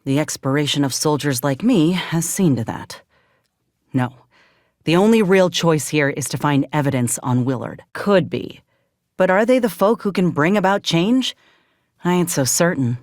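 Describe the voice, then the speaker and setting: An actress playing a grim, resolute outcast soldier in a video game, with a folksy drawl and a measured, wry delivery. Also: female voice, sits low, lively intonation, darkish tone, no echo, studio quality. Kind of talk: video game character dialogue.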